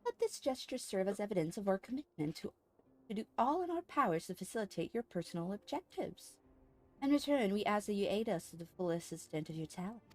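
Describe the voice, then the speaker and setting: A woman's voice: medium pitch, very animated, fairly quick. A woman voicing an earnest, formal lady leader of a secret order in a fantasy game, with courtly speech.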